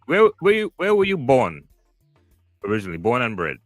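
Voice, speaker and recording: A man hosting a podcast interview, relaxed and loose, with plenty of fillers as he asks questions. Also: medium pitch, brisk, no room sound. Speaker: podcast host. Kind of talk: casual interview. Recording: dry close mic, faint music.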